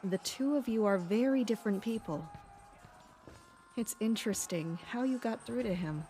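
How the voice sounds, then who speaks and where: A woman playing a composed, perceptive castle guard captain in an audio drama, calm, formal and matter-of-fact. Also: a little high, moderate pace, soft background music, lightly acted. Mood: curious, knowing.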